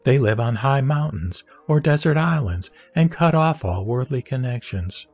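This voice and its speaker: A man reciting Buddhist scripture from a script in a solemn, measured, formal delivery. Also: mid-range voice, lively intonation, a little mellow. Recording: dry voice over background music. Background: music.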